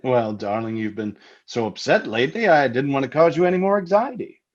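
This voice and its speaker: An actor playing a skeptical, protective, indignant husband in a radio mystery, with a blustery, emphatic delivery. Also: male voice, medium pitch, expressive, a little fast, highs cut off, close to an everyday voice. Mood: taken aback. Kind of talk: old-time radio mystery drama acting.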